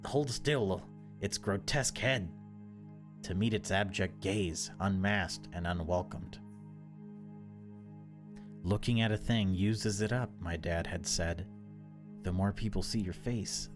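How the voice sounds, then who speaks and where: A man voicing the solemn, brooding protagonist of a horror visual novel. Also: deep voice. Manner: solemn, dramatic reading. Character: brooding horror-novel narrator facing a loathed creature. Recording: music behind the voice.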